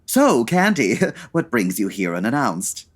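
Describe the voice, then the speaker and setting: Flamboyant, fussy artistic gent, gushing endearments, hugely animated delivery, audio-drama comedy. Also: male voice, mid-range voice. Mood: flustered, indignant. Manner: effusive, affected, drawn-out endearments.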